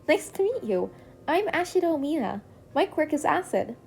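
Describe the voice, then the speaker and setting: A woman's voice: sits high, sing-song, normal speed, creaky, darkish tone, faint music behind, voice pushed up, heavily acted. A woman voicing a friendly, bubbly teenage girl student. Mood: cheerful.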